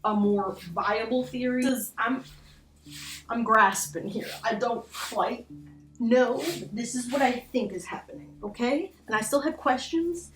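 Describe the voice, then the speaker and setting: A woman's voice: on the high side, measured pace, fairly bright tone. Young woman commentating casually in an echoey room. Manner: informal, sweary, self-deprecating asides. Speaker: video essay host. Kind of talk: casual horror analysis commentary. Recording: roomy echo, distant mic, music underneath.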